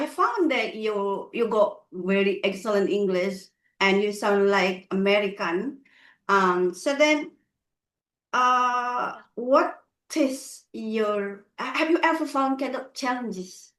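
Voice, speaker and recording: A woman hosting a casual online chat, speaking slowly and haltingly with many fillers and restarts. Her voice has a roomy echo from the space around her mic. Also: medium pitch, fairly bright tone. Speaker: host. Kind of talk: casual video call interview. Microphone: video call.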